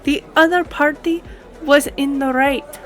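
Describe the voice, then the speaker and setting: Girl playing a lady lawyer opening up over drinks, real slow w pauses, bit high pitched, audio drama. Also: steady tone, soft background music. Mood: frustrated, firm. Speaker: voice actor in audio roleplay. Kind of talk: scripted conversational audio roleplay.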